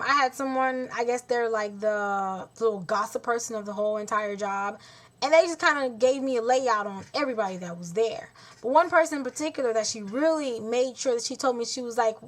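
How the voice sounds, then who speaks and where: A woman in her twenties telling a story to camera in a blunt, exasperated way, acting out what others said to her. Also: fairly high pitch. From Haitian heritage. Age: 20s. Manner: blunt, exasperated, talks with her hands in quotes. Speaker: vlogger. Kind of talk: casual storytelling vlog.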